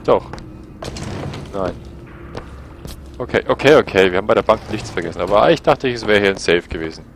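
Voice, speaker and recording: A man's voice: lowish voice, animated, brisk, creaky, a little hiss or hum. A man with a cold giving rambling gameplay commentary over a headset mic. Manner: rambling, self-correcting. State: has a cold. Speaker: let's play host.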